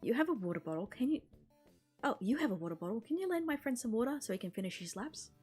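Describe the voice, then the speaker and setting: A woman's voice: on the high side, very animated, fast, darkish tone, husky, faint music behind, voice pushed up. A woman voicing a bossy, impatient teenage girl runner in a visual novel, pushy and exasperated.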